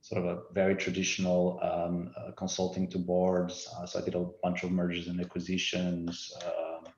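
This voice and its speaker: A man's voice: deep voice, completely flat delivery, moderate pace, a little mellow, roomy sound, highs cut off. A Portuguese man in his forties with a Portuguese accent, answering interview questions thoughtfully with frequent fillers and restarts. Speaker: resort CEO guest.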